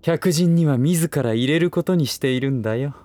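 A man's voice: a little high, moderate pace, warm tone, dry recording, lightly acted. An actor playing a smug, condescending aristocratic businessman in a Japanese voice drama, with languid, lordly, teasing phrasing. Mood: amused, insistent.

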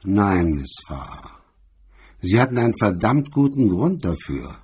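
Guy doing a noir blackmailer, low gravelly rough voice, cool and needling, radio drama. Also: very animated, measured pace, a little mellow, full character voice. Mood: cold, insistent. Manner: thoughtful, cold, taunting. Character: cool, hard-edged male blackmailer in a noir crime story.

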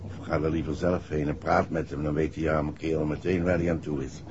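An actor playing an evasive husband who is secretly a criminal mastermind in a 1960s radio drama, with hesitant, dry Netherlands Dutch speech. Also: male voice, rumbling low, flat delivery, brisk. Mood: soothing, evasive. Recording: muffled, noisy 1960s radio recording.

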